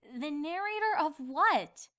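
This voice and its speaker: A young female cartoon pony, with a very high, bright voice. She is inquisitive and easily exasperated, swooping through her emphatic questions. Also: lively intonation, slow, heavily acted. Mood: puzzled. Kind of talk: cartoon fan fiction dramatization.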